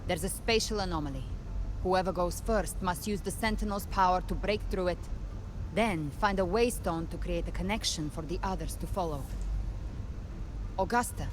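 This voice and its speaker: Composed, grave huntress over noisy ambience an actress. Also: female voice, fairly low pitch, normal speed, natural voice. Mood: grave, urgent. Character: composed, resolute young woman monster hunter. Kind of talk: anime game dramatic dialogue.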